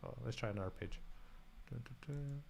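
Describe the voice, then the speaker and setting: Scottish man with a gravelly voice. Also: medium pitch, a little fast, darkish tone, a little hiss or hum. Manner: self-deprecating, thinks aloud while typing. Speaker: host. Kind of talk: casual live-coding chat.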